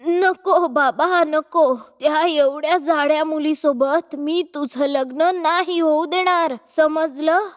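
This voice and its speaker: A woman's voice: sits high, steady tone, slow pace. A woman voicing a bossy, nagging middle-aged mother-in-law in a cartoon moral story. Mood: refusing, stern. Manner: nagging, exclamatory, domineering. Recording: long hall-like reverb.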